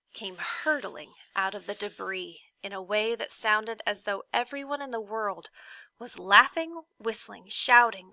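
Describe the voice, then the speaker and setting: A woman reading a children's storybook aloud as a teacher, expressive and dramatic, with swells and hushes. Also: medium pitch, no echo.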